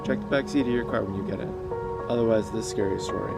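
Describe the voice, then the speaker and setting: A man's voice: fairly low pitch, quick pace, creaky, loud music behind the voice. A man hosting a casual read-aloud, moving between storytelling and chat. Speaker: host reading stories aloud.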